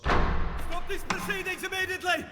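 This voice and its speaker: An actor playing a bold knight, forceful and declamatory, in an audio drama. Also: male voice, very high-pitched, flat delivery, moderate pace. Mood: defiant urgency. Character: bold, defiant knight bursting in with accusations. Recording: hall reverb, faint music.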